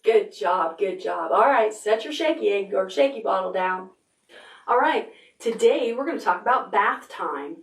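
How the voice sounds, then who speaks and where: A woman leading a preschool storytime as a children's librarian, talking slowly and warmly encouraging little kids as she reads and counts with them. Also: medium pitch, animated, vocal fry, distant mic, soft background music. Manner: cheerful, slow and encouraging, talking to small children. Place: library room. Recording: roomy sound from away from the camera mic.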